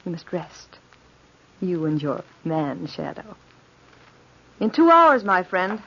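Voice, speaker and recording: An actress playing an imperious noble countess in an old-time radio drama, gracious and coolly playful with a regal, measured delivery. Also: female voice, lowish voice, very animated, a bit bright, slight background noise, heavily acted. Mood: amused, commanding. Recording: dull vintage radio recording.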